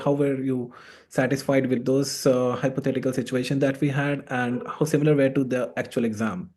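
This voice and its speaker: A man's voice: medium pitch, dark tone. A man hosting a conversational interview as a course mentor, giving exam advice and repeating his key points with emphasis.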